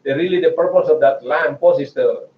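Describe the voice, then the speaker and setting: An Indonesian-accented man in his forties lectures at a research seminar, chatty and prone to restarting his sentences. His voice sits a little high for a man. He is picked up from a distance in an echoey room, and the sound is muffled. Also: brisk, slight background noise. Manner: chatty, hesitant restarts, frequent laughs.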